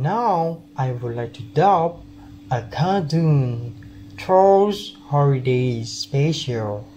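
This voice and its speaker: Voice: medium pitch, mellow, slight background noise. A young adult student with a Thai accent giving a scripted self-introduction, a native Thai speaker. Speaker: university student.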